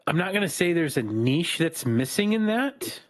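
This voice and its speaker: A man co-hosting a podcast, chatting casually in a calm, even-tempered voice that is not raspy. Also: on the high side, normal speed. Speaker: podcast co-host. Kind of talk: casual chat.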